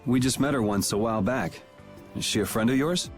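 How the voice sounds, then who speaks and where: A man's voice: mid-range voice, a little fast, music in the background, lightly acted. Laid-back, wry young swordsman played by a man. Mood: casual, curious. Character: cool, wry young male guild swordsman, self-assured. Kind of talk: video game dub acting.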